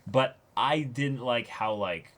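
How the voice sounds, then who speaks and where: A man's voice: mid-range voice, slow pace, fairly bright tone. A man co-hosting a reaction show, casually talking through an anime episode in a conversational way.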